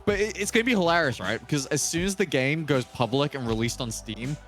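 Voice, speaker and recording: A man's voice: on the high side, expressive, a little fast, fairly bright tone. A man in a casual podcast chat about gaming, talking in an emphatic, playful way. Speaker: podcast panelist. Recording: music behind the voice.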